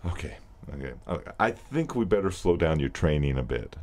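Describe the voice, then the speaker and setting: Deep-voiced, stern radio boss. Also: male voice, very animated, brisk, darkish tone. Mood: exasperated. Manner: authoritative, clipped. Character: stern, exasperated male radio program director. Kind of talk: audio drama acting.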